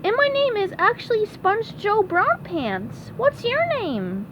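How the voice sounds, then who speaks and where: A woman's voice: very high voice, very animated, slow, a bit bright, pitched above the performer's own voice, full character voice. A woman voicing a childlike, polite, bewildered male cartoon sea sponge in a children's storybook reading. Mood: polite, puzzled. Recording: dull, noisy recording.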